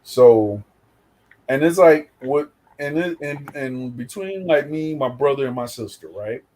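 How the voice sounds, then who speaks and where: A man with a New York accent hosts a casual talk. He tells rambling stories, full of fillers and restarts. His pitch sits in the middle for a man. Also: expressive, a little slow, a little mellow. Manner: loose, rambling storytelling with many fillers. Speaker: host. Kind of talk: casual chat.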